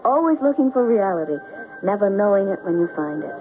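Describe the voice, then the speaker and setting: A woman playing a tender, gently teasing young sweetheart in a 1970s radio drama, with simple, deliberate phrasing. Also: medium pitch, sing-song, lightly acted. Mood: tender, coaxing. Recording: muffled old radio broadcast with music.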